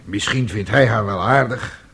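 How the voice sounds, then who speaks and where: An actor in a radio drama playing a passive, grumbling middle-aged husband with a husky voice and an evasive manner. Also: male voice, fairly low pitch, normal speed, fairly bright tone. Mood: defensive. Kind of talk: radio drama acting in Dutch.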